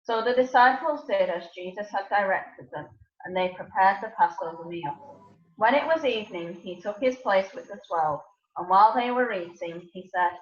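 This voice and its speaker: A woman's voice: medium pitch, normal speed. A woman giving a scripted narration of scripture as the narrator of a dramatised reading. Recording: echoey room, dull lo-fi sound.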